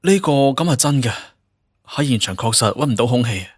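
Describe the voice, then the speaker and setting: A man's voice: medium pitch, expressive, fairly quick, husky, dry recording, clean studio sound. A man playing a deferential police officer in an audiobook, with a Cantonese accent and a plain, inquiring manner. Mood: puzzled, deferential. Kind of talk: audiobook character acting.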